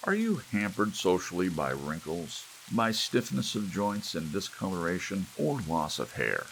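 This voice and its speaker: A man's voice: low voice, vocal fry, voice pushed up, full character voice, low-quality recording. A man voicing an upbeat television announcer selling a product with emphatic, stretched-out sales patter, in an audiobook reading. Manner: emphatic sales patter with drawn-out stressed words. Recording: steady rain and hiss behind.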